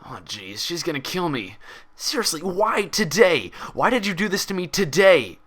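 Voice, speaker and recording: An irritable stallion orderly from a storybook, performed by a male reader. He grumbles with weary sarcasm in a gruff, forceful tone, exasperated with whoever he is dealing with. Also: high-pitched, very animated, normal speed, voice pushed up, exaggerated voice.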